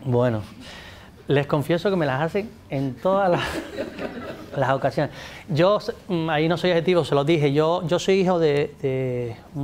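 Man, 40s, native English speaker, fairly high voice, faint background hiss, studio interview, table mic. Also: darkish tone. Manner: gestures a lot, many restarts, addresses audience. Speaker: guest speaker, literature scholar. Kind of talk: animated interview answers. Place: studio set with pink backdrop.

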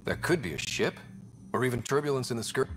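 A man's voice: mid-range voice, expressive, fast, faint music behind, natural voice. A young man voicing an easygoing, helpful male space explorer who leads missions in a video game. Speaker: pathfinder.

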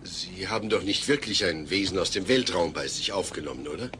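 A man's voice: deep voice, fairly quick, background noise, close to an everyday voice. An actor playing a sensible, exasperated family father, speaking earnestly and precisely in a comedy audio play. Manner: earnest, formal, precise wording.

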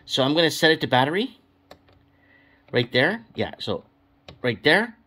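A man's voice: on the high side, big pitch swings, a little slow, pushed and bright. A man giving a casual, unscripted product demo, rambling informally and thinking aloud as he goes. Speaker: product reviewer. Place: small room at home, indoors. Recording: clearly noisy home video with clicks.

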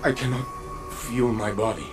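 An actor playing a noble, devoted robot warrior in a video game, speaking in a halting, formal, dramatic way. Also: male voice, mid-range voice, music in the background, close to an everyday voice. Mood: anguished.

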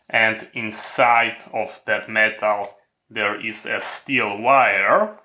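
A man's voice: on the low side, sharp tone. A man who is a non-native English speaker, presenting a hands-on equipment tutorial in a methodical, step-by-step way. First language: not English.

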